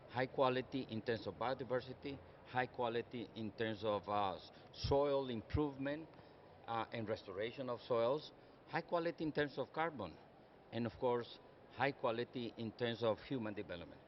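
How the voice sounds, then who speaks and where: A man's voice: medium pitch, muffled recording, slight background noise. Earnest older Costa Rican man at a conference. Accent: Costa Rican Spanish. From Costa Rica. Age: 60s. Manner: earnest, measured, builds points in lists. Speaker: conference speaker. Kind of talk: conference panel remarks. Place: conference session room.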